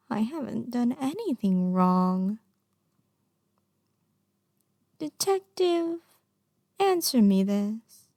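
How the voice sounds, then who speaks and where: A high, soft-toned young yandere girl played by a woman speaks very slowly and close in a dry recording. She is coy, pouty and lovestruck, slipping into half-whispers and bashful hesitations in an intimate ASMR roleplay. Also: dry recording, exaggerated voice. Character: lovestruck obsessive young yandere girl under arrest, playfully unrepentant.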